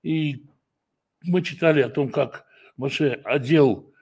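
Gravelly-voiced man giving a slow sermon. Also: a little high, a little mellow. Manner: slow, measured delivery with frequent hesitations and self-corrections. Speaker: preacher and Bible teacher. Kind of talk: prayer, then a Torah study lesson.

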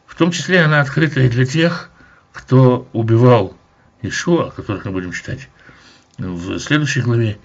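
A man explains a Bible chapter passage by passage, speaking in a measured, explanatory way and now and then pausing to hesitate. His voice sits at an ordinary pitch and has a husky edge. The recording sounds dull and lo-fi. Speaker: Bible teacher. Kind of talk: verse-by-verse Bible commentary.

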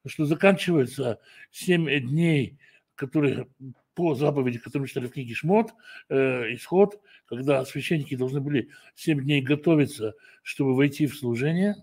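A man praying and then teaching from Scripture, speaking slowly and deliberately, often pausing to correct his own words. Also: mid-range voice, a little mellow, slightly rough. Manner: slow, measured delivery with frequent hesitations and self-corrections. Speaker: preacher and Bible teacher. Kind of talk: prayer, then a Torah study lesson.

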